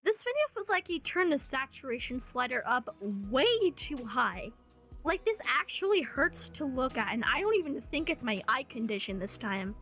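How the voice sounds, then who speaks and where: A woman's voice: high voice, big pitch swings, moderate pace, bright, forceful tone, faint music behind. A woman delivering rant commentary in a sarcastic, blunt way with swearing. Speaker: video host, commentary creator.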